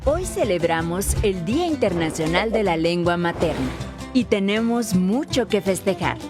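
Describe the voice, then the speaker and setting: A woman reading a scripted documentary voice-over in a measured, formal way. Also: lowish voice, big pitch swings. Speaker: documentary narrator. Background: music.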